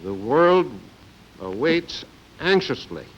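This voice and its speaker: A man's voice: a little high, very animated, takes it slowly. An American man in his seventies giving a grave, formal speech as a delegate, phrase by phrase. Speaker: chief diplomatic delegate. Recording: dull, noisy 1950 archive recording.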